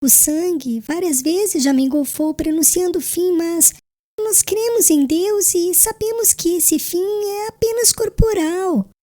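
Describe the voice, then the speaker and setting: A woman playing a gentle, devout young fiancée on her deathbed in an audiobook drama, tender and earnest with formal diction. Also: very high voice, a little slow. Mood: serene, devout.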